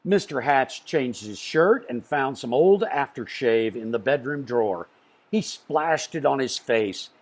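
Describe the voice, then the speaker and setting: A man reading a children's storybook aloud in an expressive way, with dramatic pauses. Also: medium pitch. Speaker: storybook reader.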